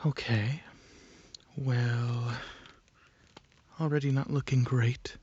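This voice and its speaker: A man performing soft-spoken ASMR roleplay as a calm alien dragon examiner, hesitant and clinical, with a breathy voice that slips into whispers. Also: mid-range voice, dull audio, a little hiss or hum. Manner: hesitant, pausing, clinical questioning.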